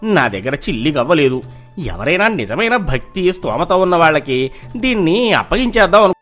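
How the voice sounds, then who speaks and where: Guy as a sly lazy village conman, boastful, highish lively voice, storybook with music. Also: normal speed. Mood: persuasive, pious. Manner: boastful, persuasive. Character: cunning, lazy young village trickster, smooth-talking.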